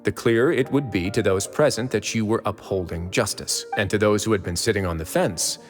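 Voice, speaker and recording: A man's voice: lowish voice, fairly quick, lightly acted. A man voicing a confident, wry fortress warden in a video game, easygoing and persuasive. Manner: easygoing, persuasive, quietly teasing. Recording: game cutscene with reverb and music.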